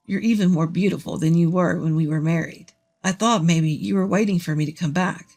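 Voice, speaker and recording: A woman's voice: lowish voice, a little fast, darkish tone, voice pushed down. A self-satisfied, clueless middle-aged man from a narrated domestic drama acted out by a woman. The voice is dropped well below the reader's own, with a whiny, presumptuous manner that takes everything for granted. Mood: smug, presumptuous. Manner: smug, clueless, stammering when challenged.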